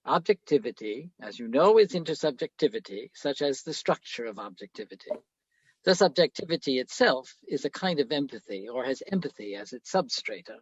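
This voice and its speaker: An actor playing an older, self-absorbed philosophy professor in a radio play, lecturing pompously and haltingly. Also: male voice, medium pitch, animated, breathy edge, dry recording, muffled recording.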